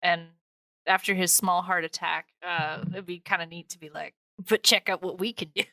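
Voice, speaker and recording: A woman's voice: on the low side, fairly even intonation, normal speed, sharp tone, no room sound. A woman hosting a podcast in a playful, slangy, informal chat with some swearing. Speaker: podcast host.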